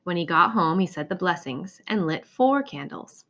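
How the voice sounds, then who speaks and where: A woman reading a children's picture book aloud in an expressive storytelling manner. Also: fairly low pitch, moderate pace, lo-fi sound. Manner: expressive, acts out exclamations and dialogue. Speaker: storybook reader.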